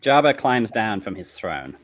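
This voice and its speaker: A man's voice: on the low side, sing-song, measured pace. A man narrating stage directions from a script at a live comedy table read.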